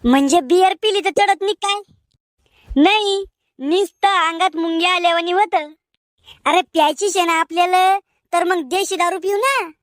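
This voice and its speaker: Cartoon young village guy played by a woman: very high, squeaky pitch, rural Maharashtrian Marathi accent, colloquial banter, dry close sound. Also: normal speed, pauses often, dry recording. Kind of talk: cartoon comedy dialogue.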